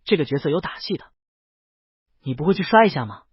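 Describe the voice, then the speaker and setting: A man's voice: fairly high pitch, expressive, fast, breathy edge, no echo, dull audio. A man voicing an impatient, bullying talent agent in an audiobook, commanding and threatening. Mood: angry, threatening.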